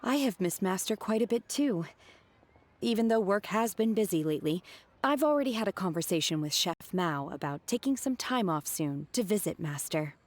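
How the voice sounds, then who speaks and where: A woman playing a calm, earnest young disciple in a video game, speaking politely and matter-of-factly. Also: mid-range voice, natural voice. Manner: matter-of-fact, polite, deliberate, blunt. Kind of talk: video game character acting.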